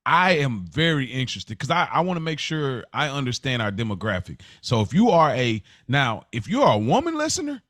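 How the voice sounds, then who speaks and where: Male podcast co-host, mid-range voice with very lively intonation, informal and unscripted, dry close recording. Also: mid-range voice, a little fast, few pauses, dry recording. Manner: animated, informal, repeats phrases for emphasis. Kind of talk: casual, unscripted podcast conversation.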